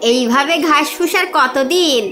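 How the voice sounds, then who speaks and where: A woman's voice: high voice, a little slow, bright, forceful tone, faint music behind. A woman playing a showy, well-off neighbour wife in a cartoon, boastful and slyly coaxing. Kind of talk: cartoon dialogue.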